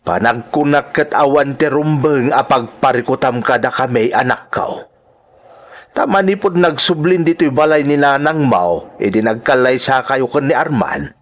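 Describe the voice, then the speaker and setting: A man with an Ilocano accent playing a gentle, caring older father in an audio drama, soothing and measured. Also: medium pitch, close to an everyday voice. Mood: caring, concerned.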